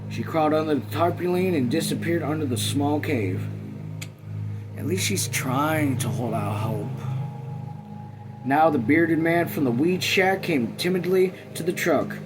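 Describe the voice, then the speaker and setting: A man's voice: a little high, a little slow, music in the background. A man hosting a read-along, narrating a novel and dropping laid-back, casual asides. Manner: laid-back, profane commentary. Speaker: host of a read-along channel.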